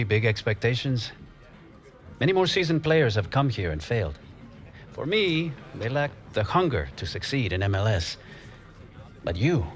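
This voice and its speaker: An actor playing an earnest, reflective middle-aged football coach, giving measured speeches in a game cutscene. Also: male voice, lowish voice.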